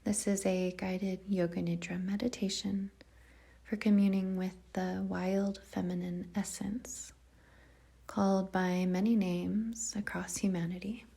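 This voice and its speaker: Female meditation guide: unhurried and even, soft breathy delivery with a gravelly fry at the edges. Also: mid-range voice. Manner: calm, measured, gentle, with short phrases and long pauses. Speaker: yoga nidra meditation guide. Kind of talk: guided meditation, slow soothing narration.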